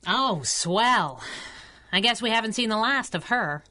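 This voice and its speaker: A woman's voice: a little high, animated, unhurried, pushed and bright. A woman playing a brassy, jealous superhero sidekick in a 1930s-style radio serial, sassy and slangy. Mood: grudging.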